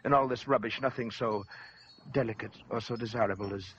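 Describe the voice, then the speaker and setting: An actor playing a fat, sinister collector who talks in a florid, mocking and formal way, in 1940s radio acting, on a lo-fi old recording. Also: male voice, mid-range voice, fairly quick, fairly bright tone, a little hiss or hum, lightly acted. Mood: silky, mocking. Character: sinister suave fat collector, man, middle-aged.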